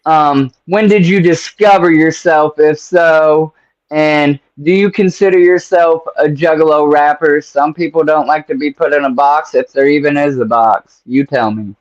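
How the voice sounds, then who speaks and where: A man's voice: a little high, steady tone, unhurried. A man hosting a casual interview over a call, talking in a laid-back, slangy, rambling way. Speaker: interview host. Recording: dull lo-fi sound.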